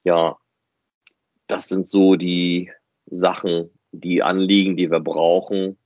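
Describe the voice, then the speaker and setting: Low-voiced man in muffled podcast chat. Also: flat delivery, takes it slowly, a little mellow, no room sound. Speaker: fan podcast host. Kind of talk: casual unscripted podcast chat. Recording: muffled, dry, band-limited audio.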